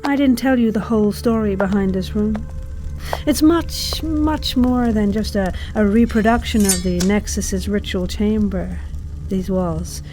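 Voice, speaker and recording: Woman playing a menacing seductress, horror radio play, controlled, deliberate delivery, medium pitch, music underneath. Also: dark tone, lightly acted, low-quality recording. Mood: sinister storytelling. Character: menacing seductive woman captor with dark secrets. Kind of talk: audio drama acting.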